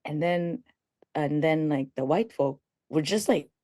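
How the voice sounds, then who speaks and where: Low, dark-voiced woman guest. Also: measured pace, dry recording, clean studio sound. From Philippines. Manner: animated storyteller, swears casually, laughs. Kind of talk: casual radio conversation.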